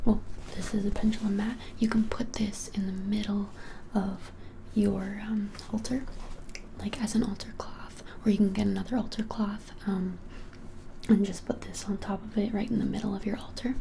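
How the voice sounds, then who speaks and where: A young woman whispers an ASMR shop roleplay in a mellow, slightly creaky voice. Her delivery is even and unhurried, with small hesitations, and a noticeable hiss sits behind her. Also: mid-range voice. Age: 20s. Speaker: ASMR creator playing a witch shop keeper. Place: small plain-walled room, indoors.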